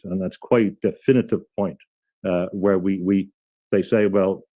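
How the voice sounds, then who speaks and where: A man with a lowish, mellow voice talks through ideas in a measured, halting way, often backing up and restarting mid-sentence. He speaks as an interview guest, and the sound is dry and close. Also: no echo. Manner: thoughtful, halting, frequent restarts. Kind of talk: explanatory interview talk.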